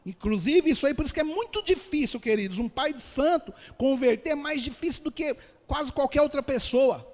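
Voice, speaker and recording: High-voiced Brazilian pastor in reverberant hall. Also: male voice, expressive, fairly quick, darkish tone. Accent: Brazilian Portuguese. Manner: earnest, addresses listeners as dear ones. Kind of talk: testimony sermon.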